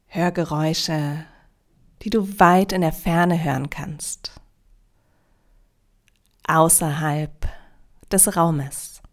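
A woman leading a guided yoga nidra relaxation in a calm, soothing, measured way with long pauses. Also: low-pitched.

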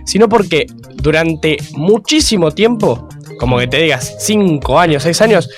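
A man with a Rioplatense Spanish accent on a radio panel, giving strong opinions and animated accounts. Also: fairly high pitch, unhurried, soft background music. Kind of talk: casual radio panel chat.

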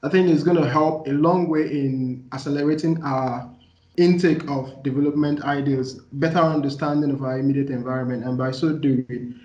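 A man's voice: fairly high pitch, fairly even intonation, normal speed, a little mellow. Nigerian man explaining in an echoey room. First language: Igbo. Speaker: interviewee, development practitioner. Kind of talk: thoughtful interview answer. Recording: echoey room, muffled lo-fi sound.

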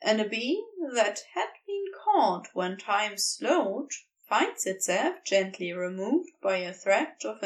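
A woman narrating a scripted story with measured, deliberate phrasing. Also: mid-range voice, recorded from a distance, rough recording. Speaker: podfic reader.

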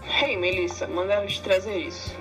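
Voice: medium pitch, fairly even intonation, moderate pace, fairly bright tone, faint music behind, natural voice, rough recording. A woman voicing a casual schoolboy messenger in an audio story. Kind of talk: dramatized audio story dialogue.

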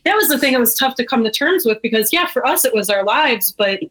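A woman's voice: fairly high pitch, animated, quick pace, fairly bright tone. An American woman speaking candidly and informally as an interview guest, emphatic, with frequent filler phrases like "you know". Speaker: interview guest, brewery owner. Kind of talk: casual, candid conversation.

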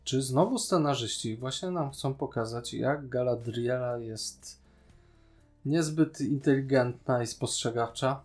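A man's voice: medium pitch, a little slow, a little mellow, faint music behind. A man co-hosting a podcast, casually critiquing a series in an opinionated, sarcastic way. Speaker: podcast co-host reviewing a TV series.

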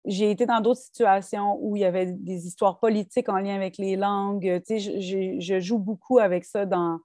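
A woman's voice: medium pitch, normal speed, no room sound, clean studio sound. A woman with a Québécois French accent, a guest author talking thoughtfully about poetry in a casual interview. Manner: thoughtful, animated, many restarts and fillers.

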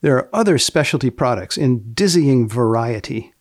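A man hosting a podcast, reading wry scripted narration and putting questions in a deadpan, precisely worded way. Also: medium pitch, normal speed, dry recording, clean studio sound. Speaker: podcast host.

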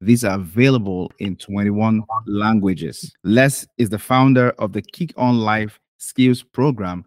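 A man's voice: fairly low pitch, unhurried, dry recording. A man hosting a podcast, introducing and questioning a guest in a formal manner. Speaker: podcast host.

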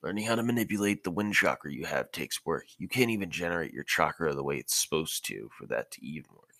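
A man's voice: sits low, lively intonation, fast, creaky, pushed and bright, no room sound, exaggerated voice. A man voicing a dry, patient adult ninja teacher in a fan fiction audiobook, instructive and mildly exasperated.

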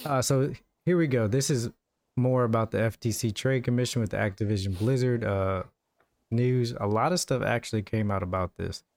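A man's voice: medium pitch, normal speed, darkish tone, dry recording. A man hosting a podcast, casually chatting and reading out entertainment news. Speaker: podcast host.

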